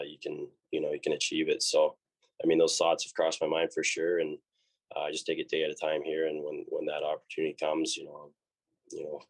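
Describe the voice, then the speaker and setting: A man's voice: rumbling low, low-quality recording. A young man with a Canadian accent, a college hockey player answering interview questions in a laid-back way, full of fillers and restarts. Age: young adult.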